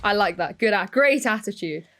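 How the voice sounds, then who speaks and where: A woman's voice: medium pitch, lively intonation, normal speed, fairly bright tone, a little hiss or hum. A woman hosting an esports talk show, interviewing in a casual, chatty style.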